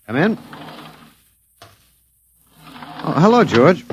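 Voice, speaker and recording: Classic radio play, dull lo-fi sound: American male professor, mid-pitched, very animated inflection, hesitant, sardonic, resigned. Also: a little fast, soft background music. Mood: wry, friendly. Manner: hesitant, wry, dryly humorous. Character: wry, resigned male anthropology professor, last man on Earth. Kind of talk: old radio drama acting. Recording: dull, dry vintage radio recording.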